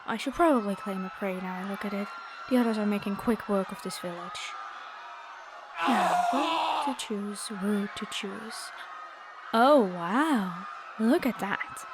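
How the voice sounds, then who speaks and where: A woman's voice: mid-range voice, measured pace, noisy background, close to an everyday voice. A woman playing a haughty, predatory noble vampire girl in an ASMR roleplay, with a taunting, playful, theatrical delivery. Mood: gloating.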